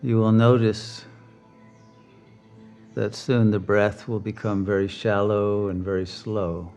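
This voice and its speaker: A man leading a guided meditation as a teacher, speaking calmly and deliberately with long pauses. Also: lowish voice, smooth, faint music behind.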